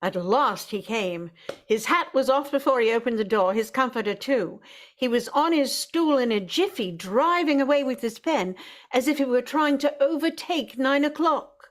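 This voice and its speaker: A woman's voice: high-pitched, animated, moderate pace. A woman delivering scripted storytelling narration in a vintage-style radio drama.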